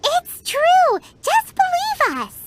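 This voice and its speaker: Squeaky, childlike fairy companion. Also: female voice, wildly swooping pitch, slow pace, a little hiss or hum, exaggerated voice. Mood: encouraging. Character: tiny childlike fairy companion, cheeky and outspoken. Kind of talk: video game character acting. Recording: music playing behind the voice.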